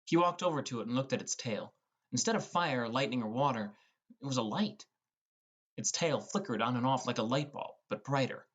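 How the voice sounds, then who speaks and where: A man's voice: mid-range voice, fairly quick, a bit bright, slightly rough. A man reading scripted first-person narration as the narrator of an audiobook.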